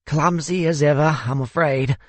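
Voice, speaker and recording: A man voicing a courteous, wistful older gentleman with a South African accent and a theatrical, hesitant manner in an audiobook. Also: fairly high pitch, steady tone, normal speed, no room sound, pitched above the performer's own voice, close to an everyday voice. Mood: genial, frail. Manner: theatrical, hesitant, old-fashioned politeness.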